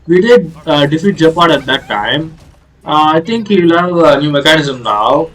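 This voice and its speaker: A man's voice: a little high, lively intonation, normal speed, a bit bright. A man giving casual, explanatory commentary on a game playthrough, hesitating often with filler sounds. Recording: distant mic over game music.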